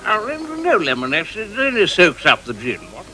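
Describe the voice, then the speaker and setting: A man's voice: fairly high pitch, huge pitch swings, normal speed, over loud music. A man playing a befuddled, boozy older king, a bumbling henpecked husband in a pantomime comedy. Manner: bumbling, dithering. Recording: noisy old TV recording.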